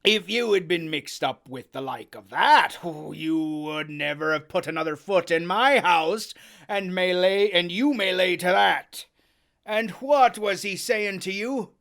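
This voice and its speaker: A reader voicing a cunning, hearty one-legged old pirate innkeeper who talks loudly in sailor's slang. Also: mid-range voice, sing-song, takes it slowly, vocal fry, pitched above the performer's own voice, exaggerated voice. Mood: blustering. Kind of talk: audiobook character voice.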